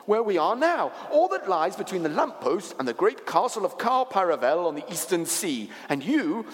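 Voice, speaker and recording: A man's voice: sits high, very animated, moderate pace, big-room sound, pitched above the performer's own voice. A man voicing a small, friendly, fussy male faun from a storybook, stammering and courteous, with formal old-fashioned speech. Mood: explaining grandly.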